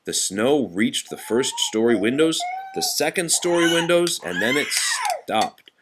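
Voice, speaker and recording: A man reads a picture book aloud for a child, expressively and patiently. His intonation is animated and varied, and there is faint noise behind him. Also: a little high, measured pace. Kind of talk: storybook read-aloud to a child.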